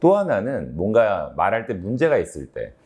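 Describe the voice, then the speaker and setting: A man with a fairly low voice talks as a doctor explaining health matters. His intonation is very lively and varied. He is conversational, often asking a question and then answering it himself. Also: normal speed. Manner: conversational, asks rhetorical questions and answers them. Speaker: ENT doctor and sleep-health show host. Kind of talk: explanatory health talk to camera.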